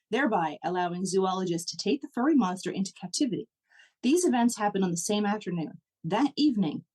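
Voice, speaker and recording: A woman with a slightly husky voice co-hosting a laid-back podcast. She talks in a relaxed, dry manner, and reads prompt cards aloud in a plain, even delivery. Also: medium pitch, low-quality recording. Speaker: podcast co-host. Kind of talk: casual chat, reading prompt cards aloud.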